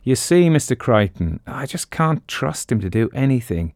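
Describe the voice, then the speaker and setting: A man's voice: medium pitch, sing-song, normal speed, a little mellow, dry recording, clean studio sound, voice pushed up. A man voicing a clever, pompous con man in an audiobook, breezy and bossy. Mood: bossy complaining. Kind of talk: audiobook character voice.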